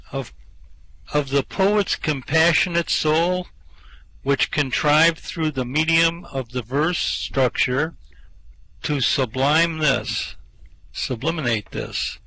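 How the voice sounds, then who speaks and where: A man playing a nervous Earthman in an amateur radio play, stammering earnestly as he flatters. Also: a little high, dry recording, full character voice, poor audio. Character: nervous, floundering Earthman flattering his captor.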